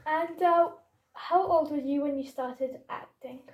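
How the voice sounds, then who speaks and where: A woman's voice: high-pitched, measured pace, a little mellow, echoey room. A young woman interviewing, asking short questions and giving brief encouraging replies.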